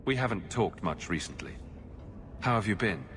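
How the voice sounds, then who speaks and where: A man's voice: low-pitched, expressive, moderate pace, fairly bright tone, slight background noise. A man playing a dry-witted, sardonic young adventurer hero in a video game. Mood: concerned. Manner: dry, wry.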